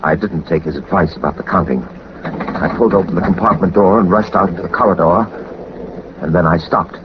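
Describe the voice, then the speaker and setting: An actor playing a suave, unflappable detective with polite, dry understatement, in the acting and narrating style of a 1940s radio drama. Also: male voice, low-pitched, fairly quick, close to an everyday voice. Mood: tense narration. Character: suave, unflappable male detective, dryly witty. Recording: dull, very noisy 1940s radio recording.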